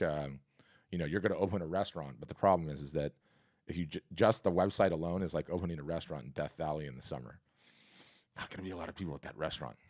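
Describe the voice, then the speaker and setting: A man hosting a live art business workshop and answering questions, talking in an energetic, conversational, salesy way with a scratchy, hoarse voice. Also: very deep voice. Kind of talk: live webinar talk and Q&A.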